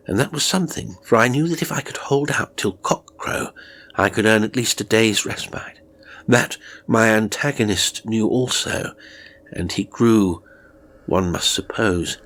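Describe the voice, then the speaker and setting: A man narrating a horror story in a measured, suspenseful and theatrical way. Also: lowish voice, fairly bright tone, slight background noise, rough recording. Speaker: audiobook narrator.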